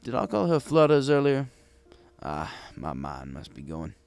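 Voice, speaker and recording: A man's voice: medium pitch, huge pitch swings, unhurried, creaky, darkish tone, no room sound. A man reading a scripted first-person story as a wry, conversational bartender pony. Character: wry, gruff bartender pony telling his tale. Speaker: story narrator.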